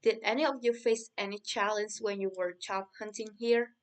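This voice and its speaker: A man's voice: high voice, little pitch movement, moderate pace, clear, resonant tone, lightly acted. A man doing an upbeat, friendly podcast host. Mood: cheerful. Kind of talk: scripted interview for English learners.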